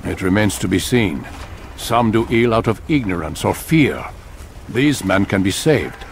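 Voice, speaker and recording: An actor playing a stern older master of assassins in a video game, measured, formal and authoritative. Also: male voice, on the low side, animated, slight background noise. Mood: grave, instructing. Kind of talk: dramatic video game acting.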